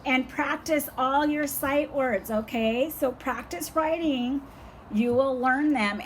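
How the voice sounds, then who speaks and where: A slow-paced older woman with a somewhat high voice leads a young child through a lesson, patient and encouraging. Her voice is hoarse and fading. Faint outdoor sounds are heard behind her. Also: a little hiss or hum, poor audio. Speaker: teacher.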